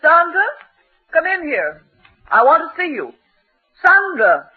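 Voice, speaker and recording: An actress playing a stern, exasperated, sharp-tongued housekeeper with a husky voice in a 1940s radio drama. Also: female voice, extremely high pitch, sing-song, slow, faint music behind, heavily acted. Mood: cross, commanding. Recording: muffled vintage radio recording.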